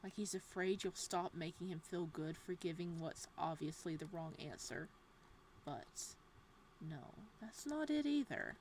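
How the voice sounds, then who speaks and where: A woman reading a horror visual novel's text aloud in a hushed, steady voice. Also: on the low side, unhurried, a little hiss or hum, low-quality recording.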